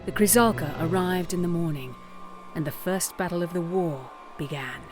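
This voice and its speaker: Casting: female narrator, lower register, dramatic scripted storytelling over a prominent score. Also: moderate pace. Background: dramatic background music.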